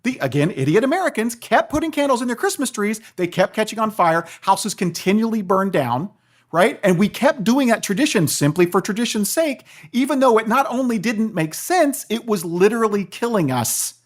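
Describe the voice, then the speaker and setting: A man talking as a guest expert on a podcast, explaining things in an animated storytelling way and often checking in with the listener. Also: high-pitched, normal speed. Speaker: guest, philosophy professor and author.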